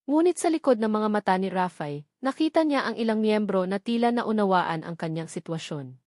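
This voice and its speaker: A woman's voice: medium pitch, animated, fairly quick, a little mellow, dry recording. Woman narrating a dramatic story, dry sound.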